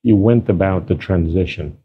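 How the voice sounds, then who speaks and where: A man's voice: low voice, normal speed, husky, highs cut off. A man hosting an interview podcast, probing his guest with short follow-up questions. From Indonesia. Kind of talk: conversational interview.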